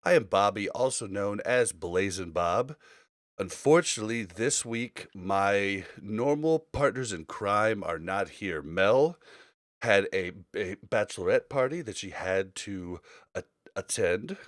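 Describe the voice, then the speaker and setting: A man's voice: fairly low pitch, animated, measured pace, no echo. A man hosting a casual gaming podcast, speaking conversationally into a close mic. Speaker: podcast host.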